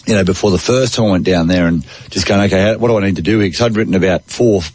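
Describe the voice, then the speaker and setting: A middle-aged Australian man talks sport as a radio host, matey and conversational. His voice sits fairly low, and the sound is close and dry, with little room on it. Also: very fast, darkish tone, no echo. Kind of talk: casual radio sports chat. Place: radio studio.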